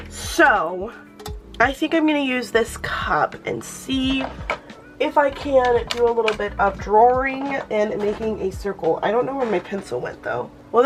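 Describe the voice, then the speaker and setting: A young woman hosting a planner channel, chatting casually and thinking aloud as she crafts, her voice a bit off from a cold. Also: a little high. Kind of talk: casual plan-with-me chat.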